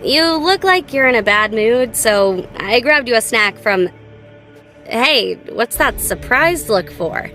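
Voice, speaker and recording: A woman's voice: a little high, animated, slow, pushed and bright, exaggerated voice. An American actress voicing a playful, nonchalant young female gun-tech tinkerer in a video game, with a light, girlish, teasing delivery. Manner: teasing, breezy, casual. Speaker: voice actor for a video game character. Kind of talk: scripted game character voice lines. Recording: studio voice over background game music. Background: background music.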